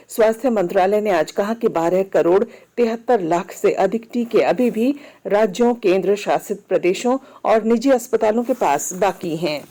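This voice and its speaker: A woman reads a scripted regional news bulletin in a measured, formal manner. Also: medium pitch, warm tone. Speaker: news reader.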